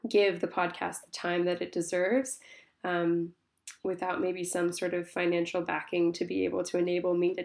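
A woman's voice: on the low side, gravelly, darkish tone. A woman who hosts a podcast, sounding congested and talking to her listeners in a chatty, apologetic way full of ums. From Tasmania, Australia. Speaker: podcast host. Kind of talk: casual spoken update to listeners. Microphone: phone.

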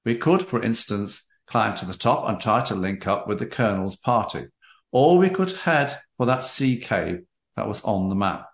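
A man hosting a book interview, addressing the audience deliberately and reading aloud. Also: lowish voice, expressive, gravelly. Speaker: podcast host. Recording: roomy, echoey sound.

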